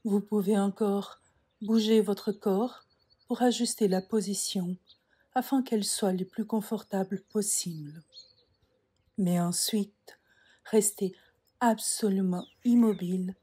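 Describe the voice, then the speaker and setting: A woman leads a guided relaxation at a very unhurried pace. Her voice is soft and breathy with a mellow tone. She gives calm, measured instructions and leaves frequent pauses between phrases. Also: medium pitch. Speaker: yoga nidra meditation guide. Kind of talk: slow guided relaxation meditation.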